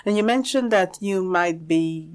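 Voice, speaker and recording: A woman's voice: on the low side, a little slow, a little mellow, smooth. A woman interviewing as a radio host, talking conversationally and in a reflective, rambling way, as if thinking aloud.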